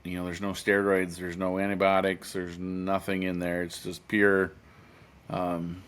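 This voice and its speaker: A man's voice: deep voice, monotone, measured pace, a bit bright, clean tone. A man of fifty in casual podcast chat, rambling with many fillers and restarts, earnest and matter-of-fact. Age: 50s. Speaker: podcast co-host.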